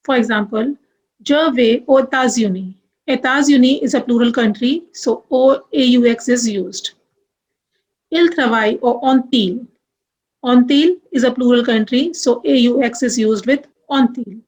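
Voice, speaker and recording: A young woman teaching French grammar, moving deliberately through examples and spelling out letters one by one. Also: on the high side, a little mellow, poor audio. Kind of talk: grammar lesson.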